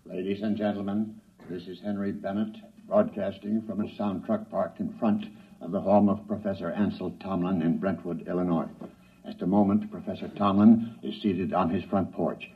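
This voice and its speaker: A grave live radio reporter, deep and husky, announcing formally and deliberately. The vintage radio drama sound is muffled and a little distant. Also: male voice, steady tone. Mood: grave, reporting. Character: serious male radio newsman reporting live on the scene. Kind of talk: 1950s radio news bulletin acting.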